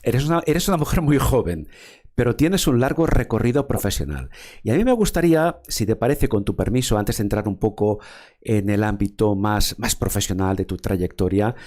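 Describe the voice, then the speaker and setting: A man's voice: fairly low pitch, expressive, normal speed, darkish tone. A man from hosting a formal interview, speaking in a wordy way with frequent fillers and repeated words. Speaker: interviewer, show host.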